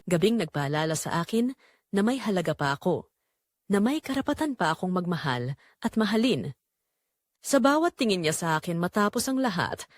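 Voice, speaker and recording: A woman with a Filipino accent reading a scripted first-person romantic drama as a story narrator, in a measured, dramatic storytelling manner. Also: fairly low pitch, no echo, studio quality.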